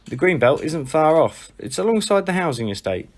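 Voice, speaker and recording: A man playing a perceptive, laid-back and quietly frank friend in an audio drama, unhurried and matter-of-fact. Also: a little high, big pitch swings.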